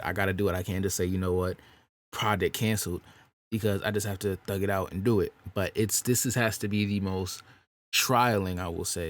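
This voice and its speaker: Deep-voiced young man, slangy chat. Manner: loose slangy talk, says "bro" a lot. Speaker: rapper and singer. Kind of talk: casual podcast chat about making music.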